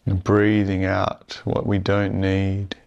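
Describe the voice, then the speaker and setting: A man leading a guided meditation in a calm, soothing, measured way with long pauses. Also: sits low, gravelly, dull audio.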